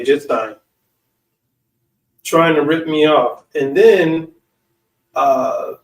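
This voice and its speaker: American male, slightly high voice, informal and halting storyteller, recorded at a distance from the mic. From United States. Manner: informal, slangy, halting delivery. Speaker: online speaker and channel host. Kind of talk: casual personal storytelling. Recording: echoey room, distant from mic.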